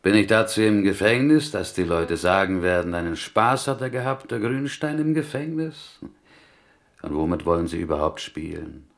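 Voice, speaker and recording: Gravelly, low-voiced, gently pious prisoner. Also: male voice, expressive. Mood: earnest, indignant. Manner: deliberate, melodic phrasing with inverted word order. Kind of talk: German radio play acting.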